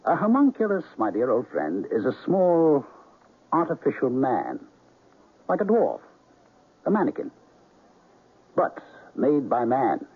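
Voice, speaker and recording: A grandiose mad alchemist from a radio thriller. His raspy, pompous voice swoops dramatically up and down. The sound is thin, narrow old radio. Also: mid-range voice, wildly swooping pitch, a little slow, long pauses, heavily acted. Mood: lecturing, impatient. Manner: pompous, declaiming. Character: grandiose mad alchemist bent on ruling the world. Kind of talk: theatrical old-time radio drama acting.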